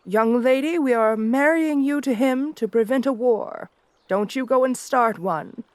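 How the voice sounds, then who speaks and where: A woman's voice: high-pitched, measured pace, no echo, voice pushed up. Woman putting on a stern, scolding royal mother. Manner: stern, commanding. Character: strict, scolding royal mother lecturing her daughter. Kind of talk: quoted character in ASMR roleplay.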